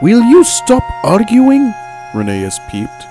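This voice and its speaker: A man's voice: extremely high pitch, huge pitch swings, slow pace, faint music behind, voice pushed up, full character voice. A man voicing a tired, exasperated old steam engine who snaps impatiently at quarreling engines. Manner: sharp, impatient scolding.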